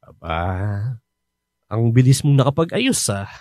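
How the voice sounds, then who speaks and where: A chatty, joking coworker. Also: male voice, medium pitch, big pitch swings, slow pace, dark tone, no room sound, clean studio sound. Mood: friendly. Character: chatty, helpful coworker who knows everyone. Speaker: fellow overseas worker. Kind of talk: radio drama acting.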